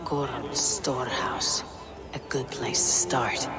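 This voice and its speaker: An actress playing a guarded, brooding Viking warrior woman in a video game, with a husky, breathy voice. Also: rumbling low, fairly even intonation, takes it slowly, loud music behind the voice. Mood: brooding, hushed. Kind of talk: video game voice acting, dramatic inner narration. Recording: noisy water and outdoor ambience.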